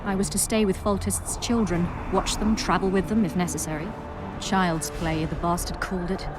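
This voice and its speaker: A woman's voice: fairly low pitch, steady tone, moderate pace, close to an everyday voice. A woman playing a guarded, shaken survivor in a fantasy video game, speaking earnestly and somewhat formally. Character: guarded, shaken female survivor and protector of royal children. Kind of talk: dramatic video game acting. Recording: music behind the voice.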